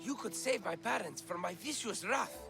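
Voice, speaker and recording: A man's voice: high-pitched, expressive, normal speed, gravelly, music in the background, exaggerated voice. An actor playing a deluded, pompous young man of ancient Greece in a video game, melodramatic and self-important. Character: pompous, deluded young son, mock-ferocious, prophecy-obsessed. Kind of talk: video game cutscene acting.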